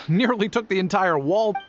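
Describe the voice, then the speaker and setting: An actor playing a weary young travelling merchant in a video game, explaining things in a conversational, long-suffering way. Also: male voice, high-pitched, a little slow, highs cut off, slight background noise. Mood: patient, resigned. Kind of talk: game voice acting, storytelling dialogue.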